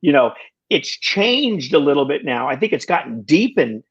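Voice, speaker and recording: Husky 60ish guy on a muffled lo-fi call, kinda high voice, keeps restarting his sentences, jokey about himself. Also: sing-song. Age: 60s. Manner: halting, full of restarts, wry self-deprecating humor. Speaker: podcast guest. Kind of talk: casual conversation.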